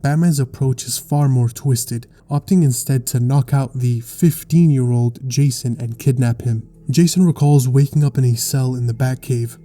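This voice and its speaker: A man narrating a scripted explainer in a measured, articulate storytelling way. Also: medium pitch, mellow. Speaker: video essay narrator.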